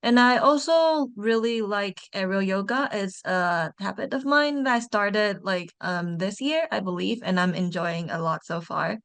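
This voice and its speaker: A woman's voice: medium pitch, lively intonation, unhurried, hardly any pauses, fairly bright tone, smooth. Upbeat young woman from Taiwan. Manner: chatty, upbeat, with small restarts. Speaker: MBA student ambassador. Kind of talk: panel self-introduction.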